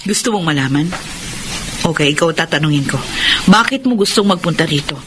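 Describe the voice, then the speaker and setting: A middle-aged Filipino widowed mother, firm and guarded. Her emotive voice swings in pitch with feeling. The radio drama broadcast has clear noise behind it. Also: expressive, brisk.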